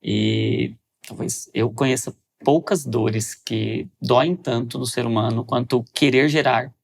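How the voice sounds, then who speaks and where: A man hosts a faith-based podcast interview. His voice has a gravelly edge, and he talks in a thoughtful, searching way, often restarting his sentences as he works toward a question. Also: mid-range voice, fairly even intonation. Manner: reflective, speeds up and slows down, frequent restarts. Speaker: podcast host.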